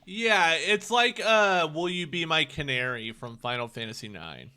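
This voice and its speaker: A man's voice: on the high side, lively intonation, measured pace, bright, forceful tone, clear, resonant tone. A man chatting casually on a gaming podcast, with informal, slangy phrasing. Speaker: podcast co-host.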